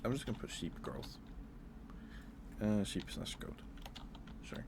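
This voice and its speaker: A man's voice: on the low side, sing-song, a little slow, background noise. A man who streams ASMR, chatting casually and musing aloud in a hesitant, rambling way. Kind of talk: casual ASMR livestream chat.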